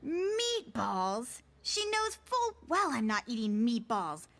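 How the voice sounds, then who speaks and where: An actress playing a sassy, dramatic fourteen-year-old girl in a motion comic, with an exasperated, expressive delivery. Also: a little high, slow, exaggerated voice. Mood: exasperated, sulky. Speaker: voice actor.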